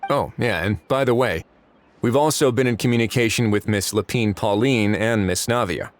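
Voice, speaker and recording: A man's voice: on the low side, moderate pace, slight background noise, natural voice. An actor playing a wry, laid-back male official in a video game, speaking informally. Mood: relaxed, wry. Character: wry, easygoing male official planning a police operation. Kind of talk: video game character acting.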